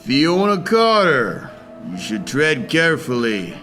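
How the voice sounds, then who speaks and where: Over music, a young man plays a smug mob boss in an amateur radio play. He speaks slowly and ominously, with a taunting tone that swoops up and down. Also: on the high side, sing-song, pushed and bright, exaggerated voice. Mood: menacing. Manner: slow, ominous, taunting delivery. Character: menacing male Italian mob boss, smug and evasive. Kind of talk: amateur radio drama acting.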